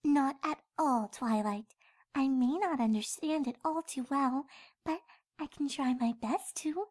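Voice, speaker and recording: A woman voicing a gentle, caring young female pony with a soothing manner in a cartoon-style fan reading. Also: sits high, a little slow. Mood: concerned. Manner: soothing, sympathetic, tender.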